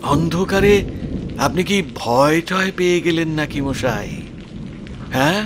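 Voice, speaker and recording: An actor playing a polite, concerned old village gentleman hosting a guest in an audio horror story. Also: a little high, animated, measured pace, slight background noise. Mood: concerned, questioning.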